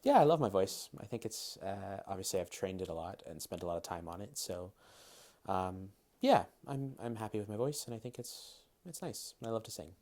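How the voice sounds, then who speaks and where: Guy from massachusetts, 30s, deep voice, mostly general american accent w a little british, careful reading then chill rambling. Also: fairly quick. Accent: Massachusetts, largely general American with slight British traces. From Massachusetts, USA. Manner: careful, crisp reading, then relaxed with hedges and fillers. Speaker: dialect coach, interviewee. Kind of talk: reading word lists, then casual interview answers.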